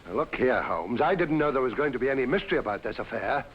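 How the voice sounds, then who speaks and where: An actor playing a blunt, touchy, puzzled police inspector who speaks tersely in an old-time radio drama. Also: male voice, medium pitch, expressive, fast talker, lightly acted. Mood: defensive, terse. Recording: dull, noisy old radio recording.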